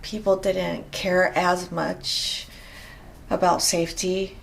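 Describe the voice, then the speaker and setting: A woman's voice: fairly low pitch, flat delivery, takes it slowly, vocal fry, a little hiss or hum, poor audio. A middle-aged woman from Japan with a Japanese accent, speaking hesitantly with many fillers as a guest in a casual interview. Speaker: interview guest, rope bondage practitioner.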